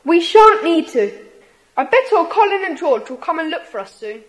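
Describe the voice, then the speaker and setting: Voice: very high voice, big pitch swings, normal speed. A performer playing a curious, cautious young schoolboy sidekick in a children's audio drama, eager and chatty.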